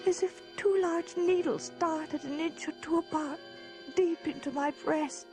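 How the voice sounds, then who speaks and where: An actress playing an innocent, troubled young gentlewoman in a radio drama, speaking gently and earnestly. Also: very high voice, fairly even intonation, measured pace, soft background music. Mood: frightened. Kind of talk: 1980s radio drama acting and narration.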